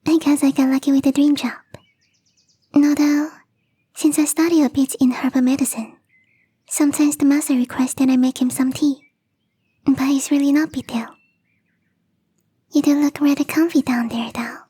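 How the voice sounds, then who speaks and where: A woman voicing a young catgirl gardener in an ASMR roleplay, teasing, coy and playfully smug, with a breathy, whispery voice. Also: sits high, fairly even intonation, normal speed, long pauses, warm tone, dry recording, natural voice. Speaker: voice actress.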